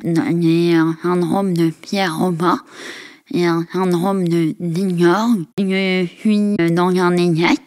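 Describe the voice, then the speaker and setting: Woman, thirties, smooth resonant voice, frank humorous storytelling, many pauses. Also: on the low side, level tone, darkish tone. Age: 30s. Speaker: podcast guest. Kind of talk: casual conversation.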